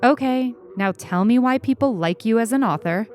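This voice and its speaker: A woman reader puts on an exasperated schoolgirl in an audio story. She is pitched up, stubborn and insistent, with clipped demands. Light music runs beneath the voice. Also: expressive, moderate pace, a little mellow, natural voice. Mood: impatient. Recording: music under the reading.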